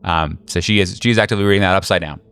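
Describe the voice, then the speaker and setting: A man running a tabletop horror game as game master, narrating casually in a halting, slangy, jokey way. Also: low voice, a bit bright, clean tone.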